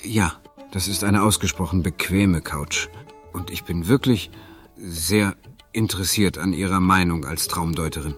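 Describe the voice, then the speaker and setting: A man's voice: deep voice, measured pace, fairly bright tone, faint music behind. An actor playing a shy, polite male client, hesitant and formal, in a radio play. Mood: polite, calmer. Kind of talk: audio drama dialogue.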